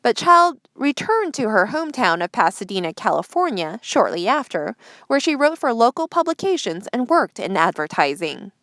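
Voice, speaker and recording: A woman's voice: fairly high pitch, sing-song, moderate pace, a bit bright, no echo, clean studio sound. A woman hosting a read-aloud news feature, narrating from a script. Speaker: host reading articles aloud.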